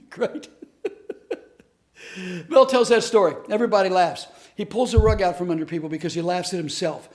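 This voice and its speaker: A man teaching a lesson through true stories, told in an earnest, animated way. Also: high voice, a little slow, long pauses. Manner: earnest, animated storyteller who acts out quotes. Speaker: comedic speaker and teacher. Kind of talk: storytelling lesson to camera.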